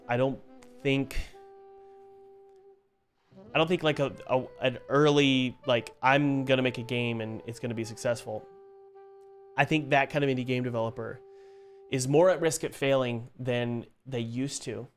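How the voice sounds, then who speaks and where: A young man chats casually to viewers on a live stream, his voice a bit hoarse from a cold. He rambles with fillers and restarts at a mid pitch, and faint music plays underneath. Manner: chatty, loose, many fillers and restarts. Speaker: indie game developer and live-stream host. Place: home studio. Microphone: studio mic.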